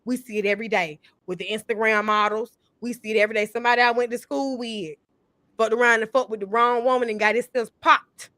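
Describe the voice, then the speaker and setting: A woman's voice: fairly high pitch, fairly even intonation, fast, sharp tone, no echo. Blunt, profane woman in her thirties. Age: 30s. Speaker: podcast panelist. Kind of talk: casual chat.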